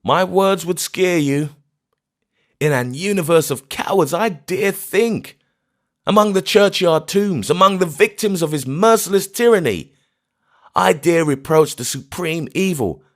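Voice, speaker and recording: A man voicing a grief-maddened old astronomer, who rages in bitter, anguished outcries, pitched far above his own voice. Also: lively intonation, measured pace. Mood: raving.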